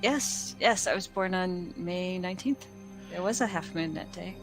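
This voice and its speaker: A woman's voice: on the low side, moderate pace, soft background music. A woman playing a tabletop game, answering in a bubbly, giggly way. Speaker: player.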